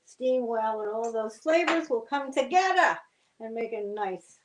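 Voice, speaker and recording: A woman's voice: on the high side, expressive, unhurried, reverberant, background noise. An older woman from New Jersey, a guest cook explaining recipe steps plainly in a homey, chatty way. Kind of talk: cooking demonstration chat.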